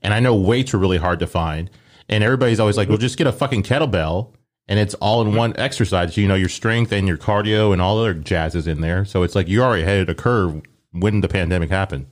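A man hosting a casual interview, relaxed and curious, with casual slang. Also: deep voice, fast talker. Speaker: host.

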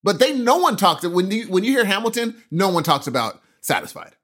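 A man's voice: high-pitched, big pitch swings, brisk, a bit bright. An American man co-hosting a podcast in casual chat, informal and playful in the way he talks. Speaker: podcast co-host.

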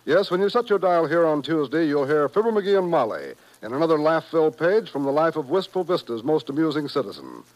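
A man's voice: fairly high pitch, big pitch swings, brisk, hardly any pauses, dry recording, poor audio. An American man announcing in formal, polished 1950s network radio style, reading scripted promotions.

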